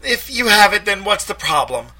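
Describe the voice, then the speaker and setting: A man's voice: sits high, big pitch swings, moderate pace, sharp tone, voice pushed up, full character voice. A man doing a bored, cold woman. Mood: curt. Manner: curt and cold. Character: bored, distrustful female costume designer.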